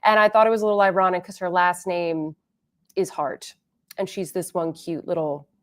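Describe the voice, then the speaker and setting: A woman's voice: on the low side, fairly even intonation, moderate pace. A woman hosting a beauty livestream, chatting casually with viewers and reading their comments aloud, a little congested and sniffly. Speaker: beauty channel host.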